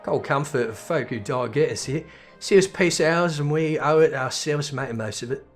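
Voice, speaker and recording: A man's voice: on the high side, moderate pace, soft background music, natural voice. A man voicing a blunt, streetwise woman from a game's slums, with salty, colloquial working-class British phrasing. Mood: reflective, blunt. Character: blunt, streetwise slum woman leading commoners, plain-spoken.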